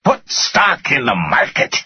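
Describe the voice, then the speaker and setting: A man performs an irate corporate finance chief in an audiobook, pitched up high and rasping hard. He is sarcastic and incredulous, shouting in profane, swooping bursts. The sound is slightly muddy. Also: expressive, normal speed, no echo, lo-fi sound. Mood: furious. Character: furious, panting male finance director berating his boss.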